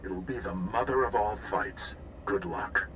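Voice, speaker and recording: An actor playing a gruff, pugnacious admiral in a video game, giving orders in a blunt, salty, commanding way. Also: male voice, fairly low pitch, unhurried, fairly bright tone, big-room sound, a little hiss or hum, low-quality recording.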